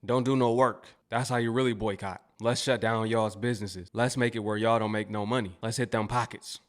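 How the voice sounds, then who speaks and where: A man's voice: on the low side, steady tone, fairly quick. An American man giving casual, opinionated commentary as a host. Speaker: commentary channel host reacting to clips.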